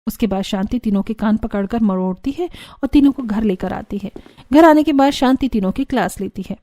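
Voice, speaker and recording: A woman's voice: fairly high pitch, a little fast, mellow, no echo, studio quality. A woman narrating a scripted cartoon family story.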